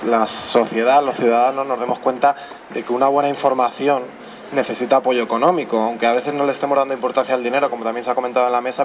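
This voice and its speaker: Earnest young man speaking up at an outdoor assembly, with traffic loud behind him. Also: medium pitch. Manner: earnest, halting, many restarts and tag questions. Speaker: audience member at a public assembly. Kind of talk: spontaneous public debate contribution. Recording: outdoor recording with clear street noise. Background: traffic and city noise.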